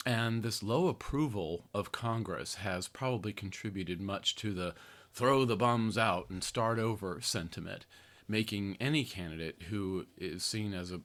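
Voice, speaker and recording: An older American man hosting a podcast, reading his own article aloud in an earnest, deliberate way with conversational asides. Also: fairly low pitch, expressive, fairly bright tone. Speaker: podcast host, therapist and activist writer.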